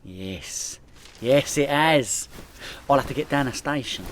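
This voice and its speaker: Man acting London petty crook, boastful, hapless, excitable, swinging pitch, radio comedy. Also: slow, a little hiss or hum. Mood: worried, self-important. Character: hapless boastful petty criminal cabbie dreaming of gangster fame.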